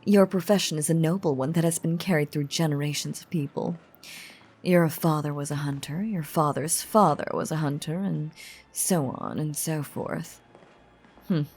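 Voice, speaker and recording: A woman's voice: sits low, normal speed, a little mellow, husky, faint music behind. A woman playing an elegant ancient vampire in a scripted audio drama, teasing and coaxing with theatrical, old-fashioned formality. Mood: solemn, proud. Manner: theatrical, archaic, velvety formal phrasing.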